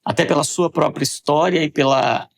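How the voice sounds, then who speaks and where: Gravelly man hosting a faith podcast. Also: a little high, steady tone. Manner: reflective, speeds up and slows down, frequent restarts. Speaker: podcast host.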